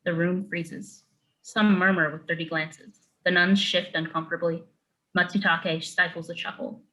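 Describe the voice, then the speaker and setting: A woman's voice: fairly low pitch, little pitch movement, normal speed, pushed and bright, rough recording. A woman narrating a screenplay, reading out scene headings and stage directions as scripted narration.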